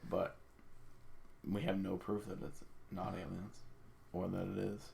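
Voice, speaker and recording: A man's voice: low voice, little pitch movement, a little slow, creaky, mellow, a little hiss or hum. A man chatting casually as a podcast co-host, rambling and swearing freely.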